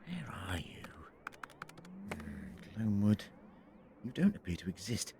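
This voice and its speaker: An actor playing an eccentric, inquisitive time-traveller in an audio drama, muttering briskly to himself. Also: male voice, on the low side, big pitch swings, vocal fry, whispered, exaggerated voice. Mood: puzzled, musing.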